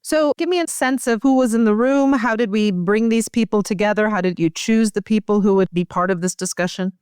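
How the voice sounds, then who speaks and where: A woman with an American accent and a clear, smooth voice, hosting a conversational interview. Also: medium pitch, a little fast, darkish tone, no room sound, studio quality. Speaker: podcast host and journal editor-in-chief.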